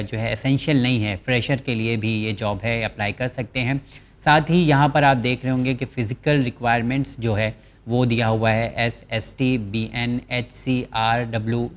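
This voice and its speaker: A man hosting a channel, walking viewers through a government job notice. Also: mid-range voice. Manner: explanatory, reads English terms from the notice slowly. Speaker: channel host presenting job vacancies. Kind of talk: informational walkthrough of a job notice.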